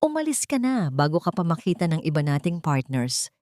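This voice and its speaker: A woman's voice: low voice, big pitch swings, brisk, warm tone, dry recording, clean studio sound. A woman narrator voicing a cold, contemptuous, wealthy husband in an audio love story. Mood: scornful.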